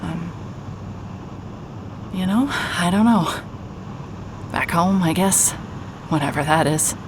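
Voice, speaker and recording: A woman's voice: fairly low pitch, slow pace, background noise. An actress playing a weary, wry, guarded woman investigator in an audio drama, speaking in a hesitant, dry way. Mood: wry, deflecting.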